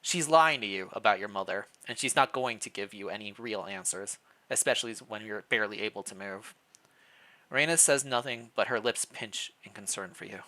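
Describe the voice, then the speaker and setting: A man's voice: fairly low pitch, brisk, pushed and bright. A man reading a story aloud on a live stream, mixing narration with casual commentary.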